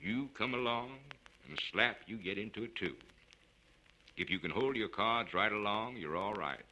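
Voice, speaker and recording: A man voicing a calm, reflective professional gambler in a storybook reading, speaking in a sententious, folksy way. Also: fairly low pitch, normal speed, lo-fi sound. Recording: noisy vintage spoken-word record.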